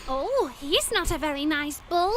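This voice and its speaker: Eager young ostrich, very high voice. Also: female voice, lively intonation, measured pace, a bit bright, slight background noise. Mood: concerned. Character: eager, imaginative young female ostrich, sweet and helpful. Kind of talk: children's animated story character acting.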